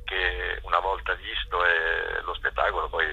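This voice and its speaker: Male director in interview, husky and very bright-edged, halting, thinks aloud. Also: low voice, flat delivery, lo-fi sound. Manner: hesitant, searching for words. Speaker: theatre director and author interviewed about a play. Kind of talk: interview answers, explanatory talk.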